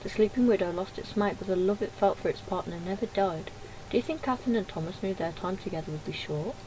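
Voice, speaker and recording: A woman's voice: medium pitch, fast, mellow. A woman reading game dialogue aloud with casual commentary, in a noisy home recording. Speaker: gaming video creator.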